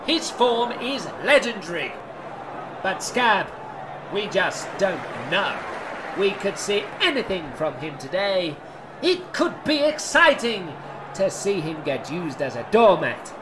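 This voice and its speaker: A woman acting a folksy, excitable sports commentator in a medieval comedy. Her delivery is slow and swooping, full of scorn, over noisy outdoor ambience. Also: mid-range voice. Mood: scornful.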